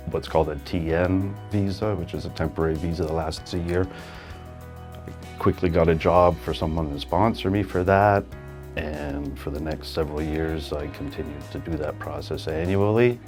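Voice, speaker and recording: A man from Canada telling his personal immigration story in an interview, relaxed and halting with fillers. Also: deep voice.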